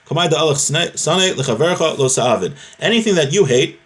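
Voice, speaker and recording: A man's voice: on the high side, expressive, brisk, a bit bright. A man giving an informal religious lesson, reading out a text and explaining it conversationally, often repeating key phrases. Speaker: religious teacher, rabbi.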